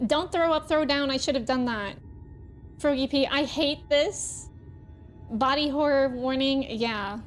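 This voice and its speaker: A woman's voice: high-pitched, a little slow, soft background music. A woman livestreaming a video game, chatting casually and expressively as she reacts and reads chat messages aloud.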